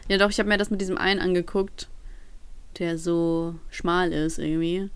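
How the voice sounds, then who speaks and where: A woman's voice: on the low side, fairly even intonation, moderate pace, clean tone. A young woman from Ukraine chatting casually as a podcast co-host, with playful, slangy banter. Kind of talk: casual chat.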